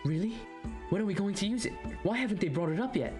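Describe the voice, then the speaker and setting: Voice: medium pitch, expressive, fast, natural voice. Actor as a young prince, anxious, plaintive, hesitant, earnest, audio drama, music underneath. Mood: eager. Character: anxious, grieving young prince, devoted son. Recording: music bed behind the voice.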